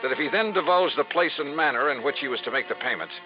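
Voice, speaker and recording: An actor playing a blunt, skeptical insurance investigator in a 1950s radio drama. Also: male voice, on the high side, expressive, fast, music in the background, lightly acted. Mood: matter-of-fact narrating. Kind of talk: 1950s radio drama acting, dialogue and first-person narration. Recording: dull, noisy vintage radio recording.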